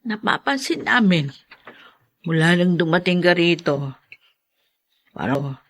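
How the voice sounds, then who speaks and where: A woman playing a remorseful older mother in an audio drama, with a husky voice and halting, contrite delivery. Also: lowish voice, lively intonation, heavily acted. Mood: concerned.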